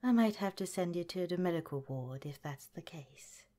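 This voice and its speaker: A woman voicing a calm, caring woman scientist director in an ASMR roleplay, speaking gently and politely in a soft, whispery way. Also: lowish voice, moderate pace, close to an everyday voice. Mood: gentle, reassuring.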